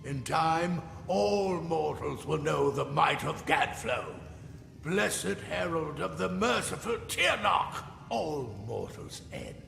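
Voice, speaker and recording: A man playing a menacing, zealous fae war leader in a fantasy game, gravelly and breathy with hissed whispers and pompous, theatrical delivery. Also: a little high, heavily acted. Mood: zealous, ominous. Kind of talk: fantasy game villain acting. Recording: echoey hall reverb over noisy ambience.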